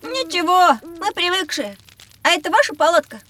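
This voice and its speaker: A woman voicing an excitable, curious young child in an audio drama for children, with exclamatory delivery. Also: extremely high pitch, normal speed, a little hiss or hum, heavily acted. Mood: curious, eager.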